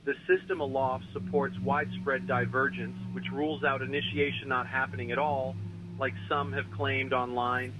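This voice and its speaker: A man playing a grave, foreboding weather scenario narrator, reading scripted lines in a solemn, formal, measured way. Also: mid-range voice, flat delivery, background noise, natural voice. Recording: dull lo-fi audio over music.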